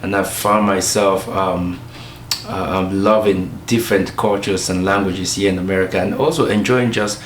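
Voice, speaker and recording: A man from Cameroon with a Cameroonian accent, a pastor speaking in a documentary interview, measured and pausing with fillers. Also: deep voice, fairly even intonation. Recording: roomy with faint ambient noise.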